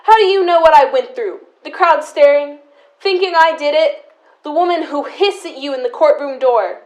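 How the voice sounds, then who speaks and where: A young woman playing a stubborn, embittered, protective sister in an audio drama, with deliberate, resentful delivery. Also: high-pitched, darkish tone, natural voice. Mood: angry. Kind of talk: high school audio drama acting.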